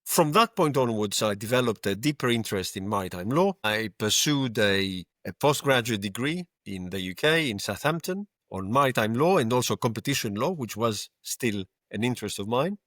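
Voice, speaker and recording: A man in his fifties from Greece with a Greek accent, a lawyer telling his story in a measured, reflective way as a podcast guest. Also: medium pitch, lively intonation, a bit bright, dry recording, studio quality. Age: 50s. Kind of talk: conversational podcast interview.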